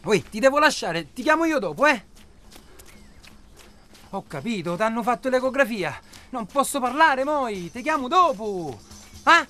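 Bossy safari boss, hard and impatient, sharp forceful voice, terse orders, radio drama. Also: male voice, extremely high pitch, big pitch swings, measured pace, long pauses, faint music behind, exaggerated voice. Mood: frantic, shouting. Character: hard, bossy, cold-blooded male safari organizer. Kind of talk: naturalistic Italian radio drama acting.

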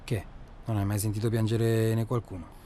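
A man playing a gruff, down-to-earth hunting companion in a radio drama, terse and colloquial, with a southern Italian accent. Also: fairly low pitch, little pitch movement, moderate pace, mellow, lightly acted. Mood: hushed, curt. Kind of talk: naturalistic radio drama dialogue. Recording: clear ambient noise behind.

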